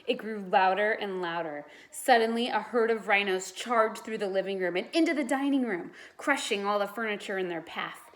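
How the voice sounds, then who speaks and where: A woman's voice: medium pitch, normal speed, few pauses. A woman reading a children's storybook aloud like a teacher, expressively and with dramatic emphasis.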